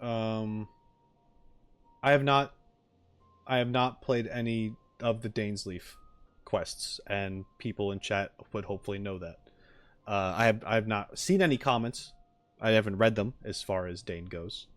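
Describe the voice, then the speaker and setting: A man's voice: on the low side, steady tone, measured pace, clean tone. A man chatting casually to his stream viewers as he reacts to game videos, informal, joking and blunt.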